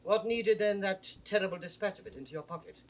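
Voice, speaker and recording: A man acting an excitable, high-pitched old lord. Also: fairly quick, close to an everyday voice. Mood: suspicious, brisk. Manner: theatrical, excitable, swinging from murmurs to outbursts. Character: credulous, agitated aged noble lord and father. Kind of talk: classical Shakespearean stage acting. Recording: 1960s drama recording with background noise.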